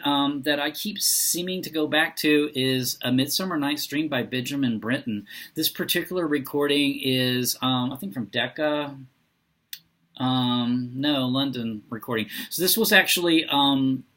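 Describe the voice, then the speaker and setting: A man talking casually and unscripted as a vlogger, halting often as he searches for his words. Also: mid-range voice, bright, forceful tone. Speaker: book and reading vlogger. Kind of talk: casual unscripted vlog talk.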